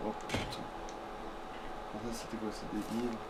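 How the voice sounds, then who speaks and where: A man's voice: lowish voice, completely flat delivery, moderate pace. A man chatting casually and slangily as he plays a video game, swearing freely. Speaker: let's play gamer. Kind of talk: casual gameplay chat. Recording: noisy, distant mic, game ambience.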